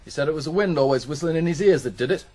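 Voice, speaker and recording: An actor playing a blunt, unwelcoming farmhand, matter-of-fact in a radio drama. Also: male voice, a little high, animated, racing, a little mellow. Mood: offhand.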